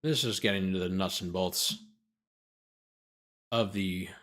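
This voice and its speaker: A man's voice: sits low, fairly even intonation, unhurried, a bit bright. A man hosting a legal commentary show, reading a document aloud and breaking off for sardonic off-the-cuff asides. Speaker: host, lawyer commentator.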